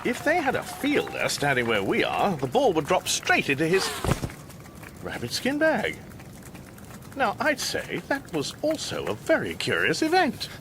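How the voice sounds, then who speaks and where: A man's voice: high voice, huge pitch swings, measured pace, background noise, exaggerated voice. An actor playing a bewildered, fussy English everyman in a radio comedy, flustered and pedantic, with an English accent. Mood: puzzled. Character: bewildered, fussy, indignant English everyman stranded among aliens. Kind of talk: radio comedy drama acting.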